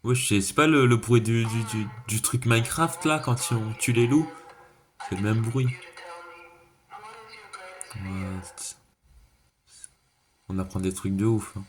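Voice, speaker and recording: A man's voice: on the low side, takes it slowly, pauses often. A man giving a casual, slangy reaction commentary, thinking aloud and repeating himself. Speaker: reaction video creator. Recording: clearly noisy background.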